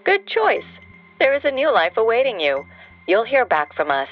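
Cool, cryptic answering-machine voice, measured, expressive melody, faint music behind. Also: female voice, a little high, highs cut off. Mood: cool, ominous. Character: cool, ominous answering-machine greeter of secret agency. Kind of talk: scripted audio drama phone greeting.

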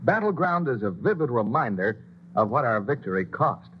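A man announcing on an old radio show in a smooth, polished scripted delivery, heard through a muffled broadcast. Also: medium pitch, big pitch swings, moderate pace, a little hiss or hum.

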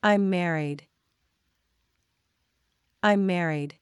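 A woman reading simple example sentences for learners, slowly and deliberately, saying each one twice. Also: fairly low pitch, background noise. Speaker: English teacher.

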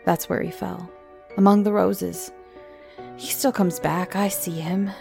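A woman's voice: fairly low pitch, steady tone, a little slow, vocal fry, a little mellow, soft background music. A woman voicing a crazed, bitter old woman in her seventies or older who rambles about the dead. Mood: eerie, brooding. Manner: rambling, fixated.

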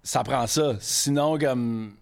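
A man's voice: medium pitch, takes it slowly, vocal fry, clean tone. A man from Quebec City, in his thirties, with a Quebec French accent, a chef guest in a casual podcast interview, rambling in franglais with frequent swearing.

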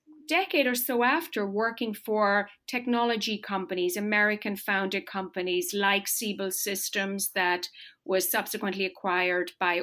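A middle-aged woman speaks as an interview guest, her voice at an ordinary pitch. She talks in a measured, articulate and polished way. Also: pushed and bright. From Ireland. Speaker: marketing executive guest. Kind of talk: podcast interview.

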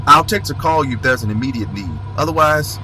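Man acting a bright-voiced, earnest senator. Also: mid-range voice, lively intonation, fairly quick, low-quality recording. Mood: resolute, wry. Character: earnest strongman senator, proud and smitten. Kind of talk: audio drama acting.

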